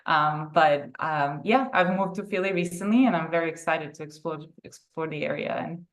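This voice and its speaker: Young woman on a video call, lowish voice, chatting through interview answers. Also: moderate pace. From Serbia. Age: young adult. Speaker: guest, therapist and sports psychology consultant.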